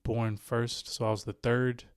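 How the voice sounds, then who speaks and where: A man's voice: mid-range voice, level tone, unhurried, smooth, dry recording, studio quality. A man hosting a podcast interview, laid-back and chatty, with lots of fillers and tag questions. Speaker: podcast host. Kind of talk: casual interview chat.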